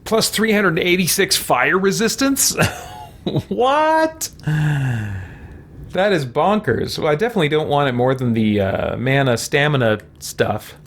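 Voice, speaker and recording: A man's voice: a little high, expressive, takes it slowly, a bit bright. Man casually narrating his video game stream. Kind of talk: casual gameplay commentary, thinking aloud.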